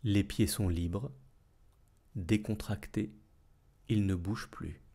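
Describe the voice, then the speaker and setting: Slow, husky man guiding relaxation. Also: fairly low pitch. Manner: calm, soothing, measured instructions with long pauses. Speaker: yoga nidra instructor. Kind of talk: guided relaxation meditation.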